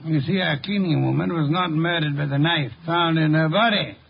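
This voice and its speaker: An actor playing a brilliant, imperious detective in 1950s radio drama style, ponderous, precise and dryly sardonic. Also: male voice, on the high side, normal speed, vocal fry, husky. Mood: assured, accusing. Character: brilliant, eccentric, unflappable older male detective, imperious. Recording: dull, noisy 1950 radio recording.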